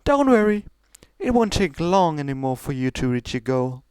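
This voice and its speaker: A man's voice: on the high side, sing-song, moderate pace, darkish tone, clear, resonant tone, dry recording, voice pushed up. An imperious pony princess, acted out by a male narrator, in a raised, swooping voice. She is pushy and condescending, sugaring her orders like a big sister in a fantasy tale. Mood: pleased. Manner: commanding, impatient, condescendingly sweet.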